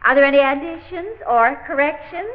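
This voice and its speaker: A slightly dull vintage radio recording of an actress playing a lady committee secretary. Her voice is fairly high, and she speaks formally, with prim courtesy, in 1940s radio style. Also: moderate pace, lightly acted. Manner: formal, reading aloud, primly courteous. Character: proper, polite lady secretary reading meeting minutes.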